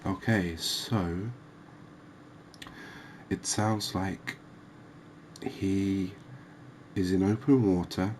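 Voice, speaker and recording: A soft-spoken man giving ASMR gameplay commentary, thinking aloud with pauses. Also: low voice, steady tone, a little hiss or hum. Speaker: gaming video host.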